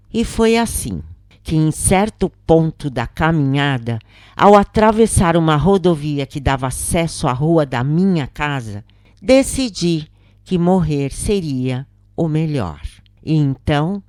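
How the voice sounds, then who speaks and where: A Brazilian woman reading a scripted account as a dramatic narrator, with solemn, theatrical, deliberate phrasing. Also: low voice, dark tone, no room sound, clean studio sound.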